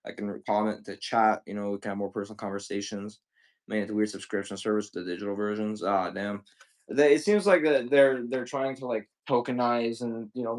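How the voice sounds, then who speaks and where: A man in his twenties chatting casually and profanely while selling on a livestream, rambling with lots of slang. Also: on the low side. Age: 20s. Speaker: livestream seller.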